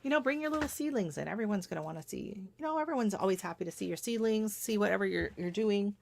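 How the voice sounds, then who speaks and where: A middle-aged Canadian woman hosting a casual livestream chat, laughing often and greeting viewers by name, her voice starting to go hoarse. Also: mid-range voice, expressive, fast. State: voice going hoarse. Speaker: gardening channel host.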